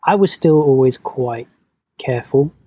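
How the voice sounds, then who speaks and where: A man, born in Venezuela, talking as a podcast guest in a soft-spoken, hesitant, reflective way. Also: medium pitch, animated, normal speed, slightly rough. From Venezuela, raised in London. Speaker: guest, movement coach. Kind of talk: podcast conversation.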